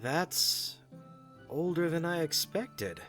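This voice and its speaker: A man playing a loyal, gently teasing young knight in a fantasy audio drama, courteous and understated. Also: fairly high pitch, animated, takes it slowly, faint music behind. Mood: casual, thoughtful. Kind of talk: fan audio drama acting.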